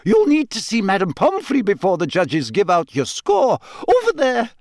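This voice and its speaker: A man voicing a stern, authoritative older woman professor in a storybook, speaking briskly. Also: mid-range voice, huge pitch swings, a little mellow, no echo, studio quality, voice pushed up. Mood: brisk, approving.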